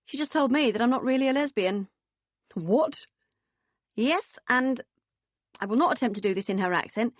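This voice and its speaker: A woman voicing a hearty, jolly middle-aged woman in an audiobook, with a booming, brisk manner. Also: lively intonation, no echo. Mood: indignant, amused. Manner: booming, brisk, jolly posh turns of phrase.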